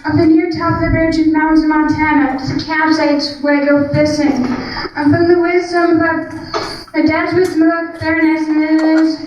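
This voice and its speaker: Teen girl poet, high and flat reading, dull distant recording in an echoing room. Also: moderate pace, slight background noise. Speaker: student poet. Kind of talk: spoken word poetry reading. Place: school classroom. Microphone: handheld mic filmed from far back.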